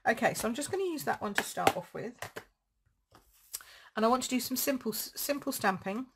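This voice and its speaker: A woman with a croaky throat, chatting casually as she demonstrates card making at home. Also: a little high, expressive, brisk, very noisy. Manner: chatty, rambling, thinks aloud while crafting. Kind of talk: casual live craft demo.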